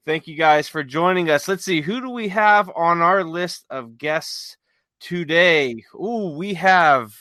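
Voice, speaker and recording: A man's voice: a little high, slow, sharp tone, no echo. A man hosting a casual podcast chat with jokey, playful banter, speaking close to the microphone. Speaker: podcast host.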